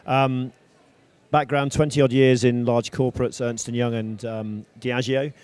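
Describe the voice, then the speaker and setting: Middle-aged man, flat businesslike voice. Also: mid-range voice, measured pace, smooth. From not Scotland; living there 25 years. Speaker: panelist, investor and board chair.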